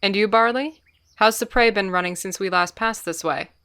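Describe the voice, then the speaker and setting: Dignified she-cat leader acted by a woman, measured, courteous authority, medium-pitched voice. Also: fairly bright tone, lightly acted. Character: calm, dignified female clan leader cat. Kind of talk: fandub audiobook voice acting.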